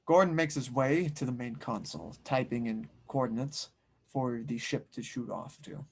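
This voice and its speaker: A man's voice: medium pitch, lively intonation, moderate pace, vocal fry, a little mellow, husky. A man reading scene directions as narrator at an audio drama table read, in a dramatic scripted style.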